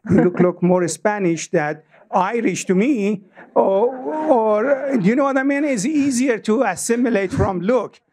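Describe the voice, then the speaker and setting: An older man in the audience of a meeting, asking a question in a halting way, searching for words. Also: sits high, a little mellow, breathy edge. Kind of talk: question from the floor. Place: meeting room.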